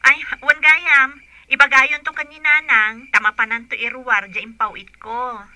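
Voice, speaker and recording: An actress playing a dutiful young daughter who gently scolds her father. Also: high-pitched, flat delivery, moderate pace, no echo. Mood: scolding.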